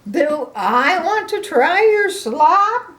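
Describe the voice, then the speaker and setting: A woman's voice: extremely high pitch, very animated, a bit bright, slight background noise, pitched above the performer's own voice, exaggerated voice. A woman voicing a wary, disgusted elephant from a storybook, drawing out exaggerated, disbelieving words. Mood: incredulous. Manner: slow, exaggerated disbelieving questions.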